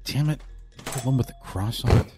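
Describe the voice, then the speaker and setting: A man's voice: mid-range voice, normal speed, very noisy, close to an everyday voice. A man playing a nervous, easily led young shopper in an audio drama, hesitant and stammering. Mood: flustered, anxious. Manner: flustered, stammering.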